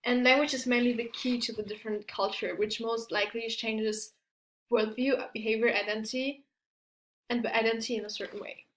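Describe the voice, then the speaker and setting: A woman giving a prepared academic presentation as a student, halting and stumbling over her words. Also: fairly high pitch, fairly even intonation. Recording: echoey room.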